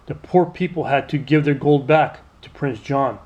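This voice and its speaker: A man narrating a children's storybook aloud in an expressive, scripted read-aloud style. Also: medium pitch, normal speed, dull audio.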